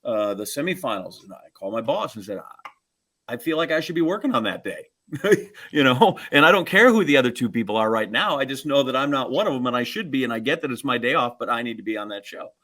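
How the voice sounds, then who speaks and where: A man's voice: mid-range voice, lively intonation, brisk, fairly bright tone. A man co-hosting a casual sports conversation, telling stories in a rambling, digressive way. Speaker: co-host, sports broadcaster.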